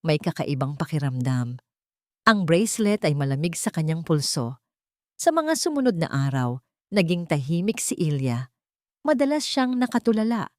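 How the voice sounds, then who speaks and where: Low-voiced woman narrating a dramatic story. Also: sing-song, moderate pace, a little mellow, no room sound, clean studio sound.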